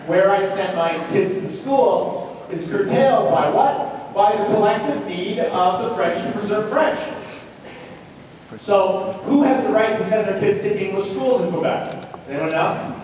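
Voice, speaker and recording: A man lecturing at a university in a conversational way, often putting questions to his students and checking they follow. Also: sits high. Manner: animated, rhetorical questions and check-ins. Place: lecture hall. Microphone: distant room mic. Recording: roomy echoing lecture hall, distant mic, noisy.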